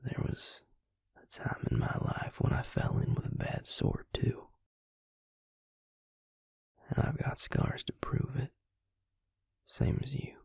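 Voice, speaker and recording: A man playing a tender, regretful male vampire in an intimate audio roleplay, confiding haltingly in a soft, breathy, gravelly whisper. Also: dry recording, clean studio sound, natural voice. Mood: confiding, solemn.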